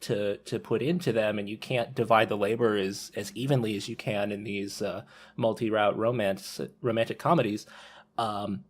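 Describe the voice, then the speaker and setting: A man's voice: lowish voice, fairly even intonation, normal speed. A man talking thoughtfully in a casual podcast discussion.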